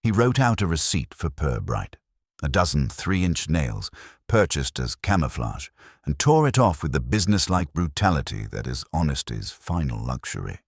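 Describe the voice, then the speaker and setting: Male narrator, very low pitch, lively intonation, wry and formal, scripted mystery storytelling. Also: normal speed, slightly rough, no room sound, studio quality.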